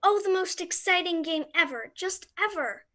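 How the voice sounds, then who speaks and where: A woman acts out an eager, bossy little girl in a radio play. The voice is very high and bright, and the delivery is gushing and excitable. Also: moderate pace. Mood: excited.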